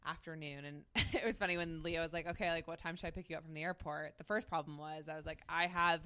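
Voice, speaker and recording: A woman's voice: sits low, steady tone, quick pace, sharp tone, smooth. A woman co-hosting a podcast in casual, chatty banter, telling stories in a giggly, informal way. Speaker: podcast co-host.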